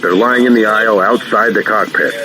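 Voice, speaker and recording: An actor playing a calm, modest veteran airline captain talking over the radio in an audio drama, plain-spoken and measured. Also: male voice, fairly low pitch, natural voice. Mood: shaken but composed. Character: calm veteran airline captain who retook his hijacked plane, modest. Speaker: airline pilot. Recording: noisy cockpit radio transmission.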